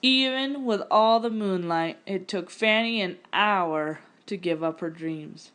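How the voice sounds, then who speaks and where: A woman narrating a picture-book story aloud in an expressive, scripted storytelling style. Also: mid-range voice, takes it slowly.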